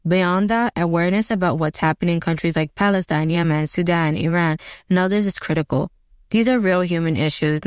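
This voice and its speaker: A young woman from California, Arabic her first language, giving a measured, sincere prepared statement. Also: deep voice, clean tone, no room sound, studio quality. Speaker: interviewee.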